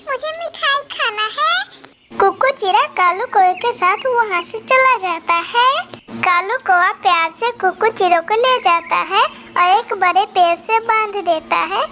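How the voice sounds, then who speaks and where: Female narrator, scripted children's tale, extremely high pitch, bright tone, faint noise behind. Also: a little slow.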